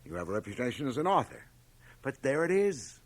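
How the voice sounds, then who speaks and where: An actor playing a blustering board chairman of about fifty in a radio drama, with an emphatic, sometimes halting delivery. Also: medium pitch, sing-song. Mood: firm, placating. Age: middle-aged. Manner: emphatic, hesitant then blustering. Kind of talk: 1980 radio drama acting. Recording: home cassette transfer of radio broadcast.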